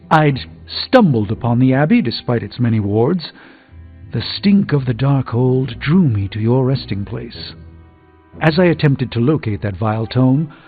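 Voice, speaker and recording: A wry, courtly sorcerer, thin sound. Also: male voice, mid-range voice, vocal fry, breathy edge, heavily acted. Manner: eloquent, theatrical, dry wit. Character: urbane, proud middle-aged male sorcerer, wry and courtly. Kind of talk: scripted video game dialogue. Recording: thin phone-like band, loud music behind.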